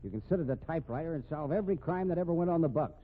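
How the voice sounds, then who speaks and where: An actor with an American accent playing a gruff, irritable middle-aged police captain who grumbles bluntly and sardonically in a 1950s radio drama. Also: male voice, fairly high pitch, lively intonation, racing, phone-quality sound. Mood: irritated, grumbling. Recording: thin, noisy 1950s radio recording.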